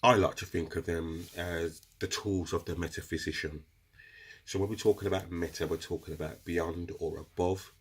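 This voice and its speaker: Very deep husky man with a cold. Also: fairly even intonation, normal speed. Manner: chatty, addresses viewers as guys. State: has a cold, runny nose. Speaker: crystal seller explaining meditation. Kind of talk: casual instructional video talk.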